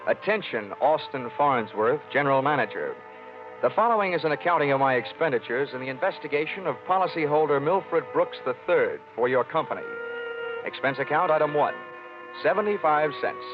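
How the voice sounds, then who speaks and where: A man's voice: medium pitch, lightly acted. A man playing a cocky, tough, wisecracking insurance investigator in a radio detective drama, speaking in a wry, slangy way. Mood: dry, businesslike. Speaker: lead actor. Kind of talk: 1940s-style radio detective acting. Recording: dull lo-fi radio sound.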